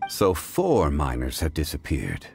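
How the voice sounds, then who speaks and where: A composed, erudite gentleman from an anime-style game. The voice is deep, with lively rises and falls inside a formal, measured delivery. Music plays behind. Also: creaky, full character voice. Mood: pensive. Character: calm, erudite gentleman scholar of stones.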